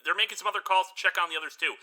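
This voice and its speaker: A man's voice: high-pitched, rapid-fire, sharp tone. A man playing the take-charge leader of a group of friends in an amateur horror comedy, speaking emphatically and giving directions. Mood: urgent, practical.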